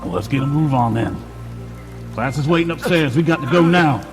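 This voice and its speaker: A man's voice: fairly high pitch, lively intonation, brisk. A man playing a tabletop game, speaking mostly in character. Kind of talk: in-character roleplay dialogue. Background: music and rain sounds.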